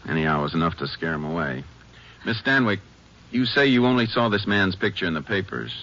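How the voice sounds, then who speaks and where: A man acting a steady, courteous Texas Ranger investigator in a 1950s radio drama, clipped and matter-of-fact. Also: low-pitched, fairly quick, full character voice. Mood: calm questioning. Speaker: radio actor. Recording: muffled old 1950s radio recording.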